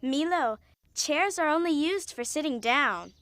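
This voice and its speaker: A woman's voice: high-pitched, expressive, measured pace, fairly bright tone, a little hiss or hum. A woman voicing a stubborn, aloof young female character in a kids' cartoon, matter-of-fact and dismissive. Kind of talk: animated kids' cartoon dialogue.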